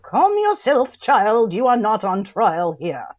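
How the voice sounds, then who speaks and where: Woman doing a stern official coroner in a radio play, animated, slightly high, muffled lo-fi mic. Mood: firm, reassuring. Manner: formal, measured questioning. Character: formal, authoritative coroner presiding over an inquest. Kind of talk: period radio drama acting.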